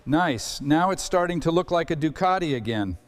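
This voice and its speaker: A man hosting and narrating a restoration in a scripted, calm workshop commentary style. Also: on the high side, normal speed. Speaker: host narrating a motorcycle restoration. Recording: long hall-like reverb.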